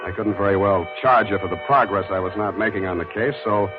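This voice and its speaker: Guy playing wisecracking american noir detective, talks fast, old tinny radio sound. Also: lowish voice, lightly acted. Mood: wry narration. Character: wisecracking, hard-boiled male private detective, cynical and brisk. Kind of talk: 1940s radio noir narration and dialogue. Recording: muffled vintage radio broadcast with music.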